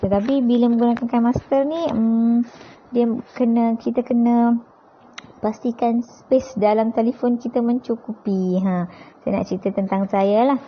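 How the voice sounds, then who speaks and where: A woman's voice: on the high side, fairly even intonation, normal speed, slight background noise. A woman with a Malaysian accent giving an informal step-by-step app tutorial, conversational and often checking in with tag questions. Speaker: tutorial presenter, teacher. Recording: dull, lo-fi sound.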